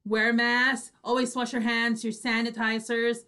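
A woman's voice: on the high side, level tone, normal speed, sharp tone, clear, resonant tone. A woman hosting an online radio show, chatting casually and expressively in exclamations to her audience over a video call. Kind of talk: lively casual reaction chat.

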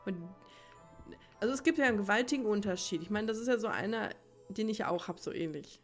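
A woman's voice: mid-range voice, fast. A woman giving casual let's play commentary, rambling and thinking aloud as she plays. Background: faint game music.

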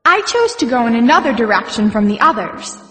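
A woman's voice: fairly high pitch, sing-song, a little fast, fairly bright tone. A woman playing a childlike, wilful, curious fire spirit in a fantasy audiobook. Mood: reflective. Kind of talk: full-cast fantasy audiobook acting. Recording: long echoing reverb effect.

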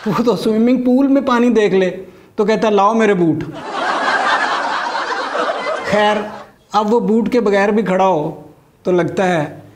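Male satirist, middle-aged, Pakistani accent, high-pitched voice, scripted comedy monologue, clear background noise. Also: unhurried, long pauses. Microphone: lapel mic.

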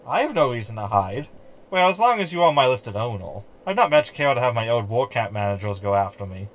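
A man's voice: mid-range voice, very animated, a little fast, natural voice. A man playing a sarcastic, scheming hologram in a sci-fi comedy audio drama, wordy and mocking. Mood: defiant.

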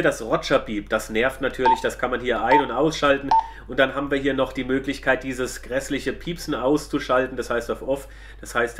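A man's voice: medium pitch, a bit bright, slight background noise. A man casually demonstrating a product as a reviewer, chatty and colloquial, speaking directly to viewers.

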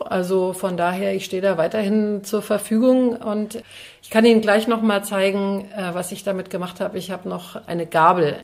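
A middle-aged woman from Hesse, a district mayor, chatting casually and lively in an interview, laughing easily. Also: mid-range voice. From Hesse, Germany.

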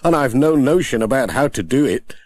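A man's voice: medium pitch, expressive, brisk, a little mellow, dry recording, voice pushed up, lightly acted. A man doing a kindly postman. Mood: cheerful. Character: cheerful, kindly country postman.

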